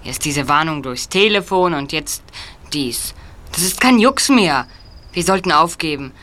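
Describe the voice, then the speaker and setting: A woman's voice: deep voice, animated, a little slow, a bit bright. A woman playing a nervous, reluctant teenage boy detective in a German audio drama. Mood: uneasy.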